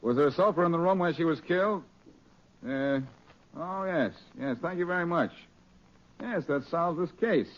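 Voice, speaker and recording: An actor playing a breezy, wisecracking amateur detective with a cocky, bantering manner in 1940s radio style. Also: male voice, fairly high pitch, moderate pace, close to an everyday voice. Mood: brisk, wry. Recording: dull 1940s radio recording.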